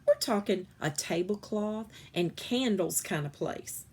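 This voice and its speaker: A woman's voice: medium pitch, expressive, a little slow, a little mellow, slightly rough. A woman voicing a reluctant father in a children's story, coaxing and bargaining.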